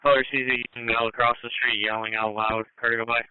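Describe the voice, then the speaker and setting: A man's voice: mid-range voice, flat delivery, quick pace, very noisy. A man working as a police dispatcher, making clipped, procedural calls over a two-way radio. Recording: phone-like police scanner radio audio.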